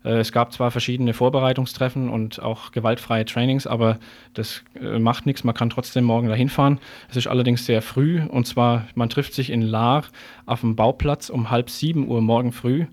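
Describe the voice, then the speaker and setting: A man's voice: medium pitch, steady tone, moderate pace, a little mellow. A male radio host speaks in an informal, improvised manner. He sounds like he is presenting live, moving between interview questions and practical announcements.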